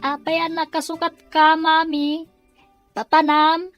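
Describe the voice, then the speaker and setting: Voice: high voice, monotone, a little slow, muffled recording, faint music behind. A performer with an Ilocano accent playing a small, inquisitive, clingy child in a Filipino radio drama. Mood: questioning.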